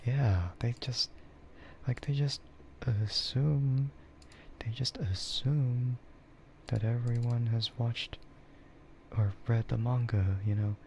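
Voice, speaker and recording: A soft-spoken man chatting casually and haltingly in a laid-back ASMR livestream style. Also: medium pitch, steady tone. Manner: chuckles often while talking. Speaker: VTuber livestreamer. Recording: muffled audio with clear background noise.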